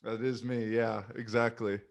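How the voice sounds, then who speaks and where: A young man hosting a podcast, chatting casually and animatedly, with quick asides and little voice impressions in his stories. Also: fairly low pitch, level tone, vocal fry. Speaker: podcast host. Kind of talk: casual conversation.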